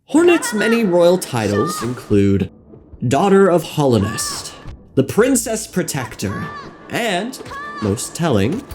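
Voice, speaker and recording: A man's voice: a little high, huge pitch swings, takes it slowly. A young man narrating a scripted comedic video essay on game lore in a playful, mock-serious manner. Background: background music.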